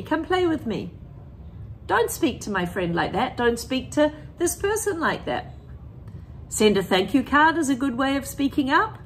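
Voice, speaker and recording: Slow, expressive woman reading to children. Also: a little high, slight background noise, poor audio. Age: middle-aged. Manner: expressive, deliberate phrasing, prompts listeners with questions. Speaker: primary school teacher reading aloud. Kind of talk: picture-book read-aloud and talk to children. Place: empty school classroom, indoors.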